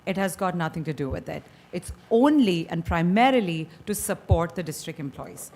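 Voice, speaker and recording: Indian-accented woman pitching to a board. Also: expressive, normal speed, a little mellow. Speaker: company founder presenting. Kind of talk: board meeting presentation. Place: meeting hall.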